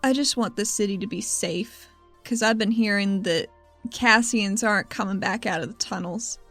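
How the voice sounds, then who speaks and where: A woman's voice: mid-range voice, normal speed, fairly bright tone, soft background music. A woman playing a determined female rebel in a tabletop game, pleading earnestly and casually to protect her city. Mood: urgent, pleading.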